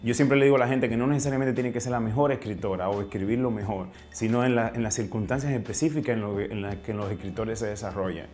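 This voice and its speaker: A man's voice: lowish voice, steady tone, quick pace, hardly any pauses, a little mellow, soft background music. A man with a Dominican Spanish accent talking reflectively in an interview, often searching for words and ending phrases with question tags. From Dominican Republic. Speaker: writer and interview guest.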